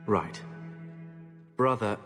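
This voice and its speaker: An actor playing a gruff young warrior and brooding younger brother in a fantasy game, blunt in manner. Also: male voice, fairly low pitch, extremely slow, in a large hall, music in the background. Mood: brooding, wistful. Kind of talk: fantasy video game acting.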